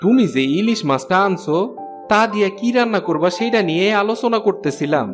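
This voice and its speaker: Sickly village dad in a cartoon, bangladeshi accent, high voice for a man, soft music behind. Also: expressive, a little fast. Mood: hopeful. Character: ailing, downhearted, loving village father. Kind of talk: Bengali fairy tale cartoon dubbing.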